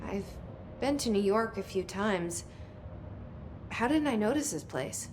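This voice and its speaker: A woman's voice: mid-range voice, lively intonation, unhurried, a little mellow, a little hiss or hum, close to an everyday voice. An actress playing a guarded, determined young woman newly in charge in a video game, speaking tersely and directly. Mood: curious. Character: guarded, determined young female newcomer made agency director. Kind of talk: video game cutscene dialogue.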